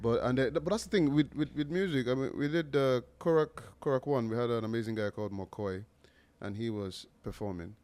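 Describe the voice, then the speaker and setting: A man's voice: mid-range voice, a little fast, hardly any pauses, darkish tone. A man hosting a podcast, asking rambling questions and cracking jokes. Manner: joking, rambling questions with restarts. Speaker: podcast host. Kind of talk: casual interview chat.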